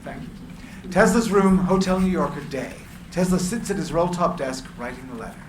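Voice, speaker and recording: Guy reading stage directions dramatically, highish voice, roomy echo, noisy background. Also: expressive, normal speed. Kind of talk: dramatic scripted narration, live reading. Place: live reading in a room. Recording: echoey room, clear background noise.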